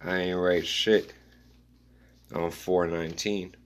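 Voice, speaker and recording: A man musing aloud in a rambling spoken-word style, reading his own notes in halting fragments. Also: sits low, level tone.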